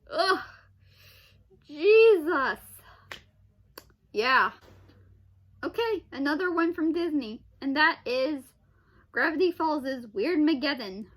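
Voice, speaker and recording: A woman's voice: high-pitched, fairly bright tone. A young woman giving casual, off-the-cuff video commentary in a rambling, hesitant way, in broken phrases with exclamations. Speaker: video host.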